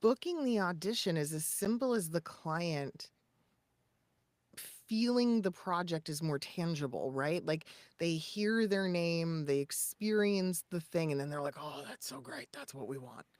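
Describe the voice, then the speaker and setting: A woman co-hosting a podcast, giving casual, conversational advice in an animated, emphatic way with short punchy asides. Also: on the low side, unhurried, dry recording. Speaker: podcast co-host and voice actor.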